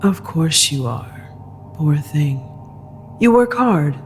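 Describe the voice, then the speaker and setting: A woman playing a cold, controlling, wealthy female executive in an audio drama, speaking in a deliberate, commanding, clipped way. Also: deep voice, lively intonation, faint music behind, pitched below the performer's own voice. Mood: falsely tender. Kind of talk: dramatic audio fiction acting.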